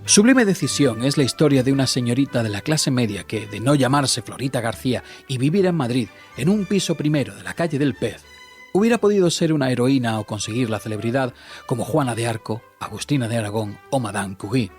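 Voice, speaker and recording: An actor playing a wry, grandiloquent storyteller who introduces a period comedy in scripted radio drama narration. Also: male voice, medium pitch, lively intonation, fairly quick, lightly acted. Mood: wry, storytelling. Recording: music under the narration.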